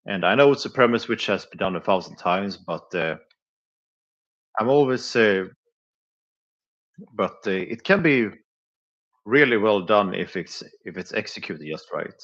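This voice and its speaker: A man's voice: on the low side, expressive, measured pace, long pauses, fairly bright tone. A man chatting casually on a live stream, hesitant and full of filler sounds, often chuckling between thoughts. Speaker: live streamer reviewing flea market finds. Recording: dull lo-fi sound.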